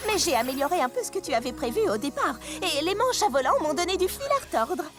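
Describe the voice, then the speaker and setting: A woman's voice: high voice, big pitch swings, fast talker, over music. A woman voicing an elegant, kindly dramatic big-sister pony in a French cartoon dub, with refined, theatrical diction. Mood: apologetic.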